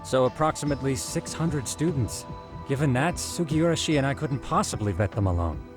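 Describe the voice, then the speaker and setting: An actor playing a precise, lecturing detective who explains facts formally in a video game. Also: male voice, medium pitch, lively intonation, fairly quick, over music, lightly acted.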